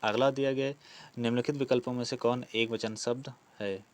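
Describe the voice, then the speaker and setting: A male teacher with a medium-pitched voice leads an exam-prep lesson. He reads each question aloud, then explains the answer in an instructive way. He often repeats key words as he goes. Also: steady tone, fairly quick. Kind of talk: online lesson, working through quiz questions.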